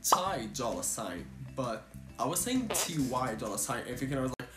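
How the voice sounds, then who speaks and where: A young man from Brazil, speaking English with a Brazilian accent, chatting playfully as a music reaction vlogger, swearing casually and breaking into high excited squeals. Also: normal speed. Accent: Brazilian Portuguese. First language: Portuguese. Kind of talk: casual chat. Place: indoor room with a pink backdrop. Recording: roomy echo with music behind.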